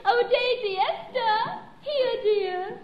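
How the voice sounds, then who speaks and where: Gushing society matron, affected and very high-voiced; roomy old radio comedy sound. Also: female voice, slow pace. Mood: gushing, fluttery. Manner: effusive, fluttery, affected politeness. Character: gushing wealthy society matron mother, pushing her daughter. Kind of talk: 1940s-50s radio comedy acting. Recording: roomy, dull old radio recording, audience.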